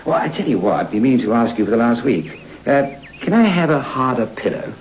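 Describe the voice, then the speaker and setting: An actor playing a restless, romantic writer who muses haltingly aloud in an old-time radio drama. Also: male voice, mid-range voice, big pitch swings, lightly acted. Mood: genial, curious. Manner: musing aloud, halting, talking to himself. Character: restless English writer on holiday, romantic, brooding. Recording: muffled noisy old radio broadcast.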